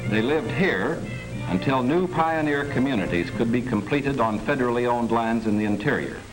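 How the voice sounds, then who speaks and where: An American man reading scripted 1940s wartime documentary narration in a measured, formal manner. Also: medium pitch, animated, poor audio. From United States. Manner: measured, formal, declarative delivery. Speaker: government film narrator. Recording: 1940s film soundtrack with music underneath. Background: music.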